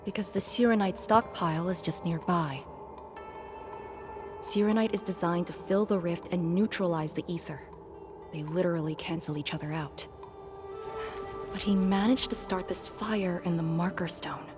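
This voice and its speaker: A composed, no-nonsense female mission leader, played by a voice actress from a video game. Her voice is on the low side, terse and serious, over clearly audible music. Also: normal speed, pauses often, lightly acted. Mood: grave. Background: game soundtrack.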